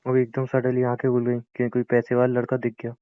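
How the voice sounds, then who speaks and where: A man's voice: medium pitch, monotone, brisk, smooth, no echo, phone-quality sound, lightly acted. A young man playing a hurt boyfriend who pleads and argues bitterly in a naturalistic audio drama of a couple's call. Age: young adult. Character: wounded, pleading young boyfriend with a failed business.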